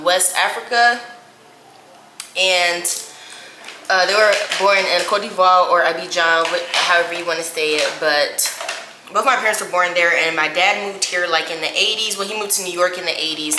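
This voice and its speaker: A woman's voice: lowish voice, roomy sound, background noise. A woman in her twenties from Indianapolis, chatting casually while doing her makeup, with lots of "y'all" and "like". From Indianapolis, USA. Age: 20s. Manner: chatty, says "y'all" and "like" often. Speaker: beauty vlogger.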